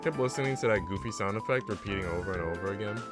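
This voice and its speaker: Guy streaming a game, lowish voice, slangy bro-and-dude chat, game music clearly behind him. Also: very fast. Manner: slangy, conversational, often addressing listeners as bro and dude. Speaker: live streamer. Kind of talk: casual chat while reading a visual novel aloud.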